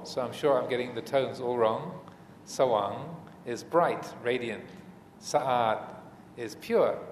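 A man gives a reflective dharma talk in a big, reverberant room, with some noise behind him. He speaks in measured short phrases and pauses often as he teaches. The echo of the hall trails his words. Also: medium pitch, a little mellow. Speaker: Buddhist monk teacher giving a dharma talk.

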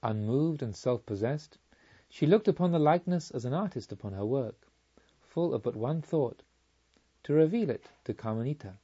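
A male audiobook reader with a mellow, relaxed voice at a medium pitch. He narrates literary prose in a solemn, measured way, and the recording sounds slightly dull. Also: slightly rough.

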